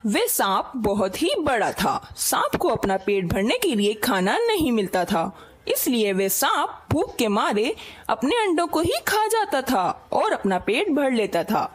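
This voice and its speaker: Animated high-pitched woman storyteller. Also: a little slow. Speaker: narrator. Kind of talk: scripted children's story narration.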